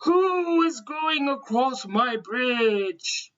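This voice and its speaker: A woman voicing a mean, greedy male troll in a storybook, making loud, drawn-out, threatening demands. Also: high-pitched, lively intonation, highs cut off, voice pushed up, full character voice. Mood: angry, threatening.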